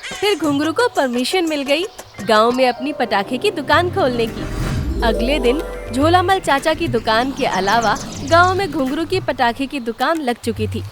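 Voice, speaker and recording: A woman delivering scripted narration for a cartoon story. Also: sits high. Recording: loud music behind.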